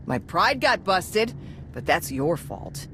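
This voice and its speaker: A woman's voice: lowish voice, sing-song, slow pace, fairly bright tone, a little hiss or hum, heavily acted. A woman playing a cocky, sarcastic young thief who talks in brash slang, in a video game. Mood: sulky. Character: cocky, sharp-tongued young female thief, defiant and sarcastic.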